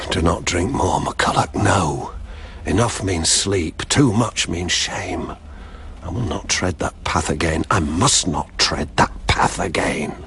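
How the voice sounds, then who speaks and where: An actor playing a troubled drinker muttering grimly to himself in halting, self-reproaching radio drama verse. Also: male voice, deep voice, fairly bright tone, full character voice. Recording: faint noise and ambience.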